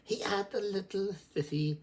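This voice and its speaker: A man giving a theatrical, halting reading of literary prose, repeating words and shifting between murmurs and sudden emphasis. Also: high voice, darkish tone. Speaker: reader. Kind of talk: dramatic amateur literary reading aloud.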